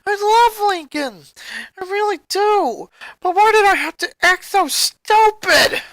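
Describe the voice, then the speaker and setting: A woman's voice: very high voice, slow, fairly bright tone, dry recording, voice pushed up, heavily acted. A woman voicing a headstrong, guilt-ridden sporty girl in a story reading. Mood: upset, guilty.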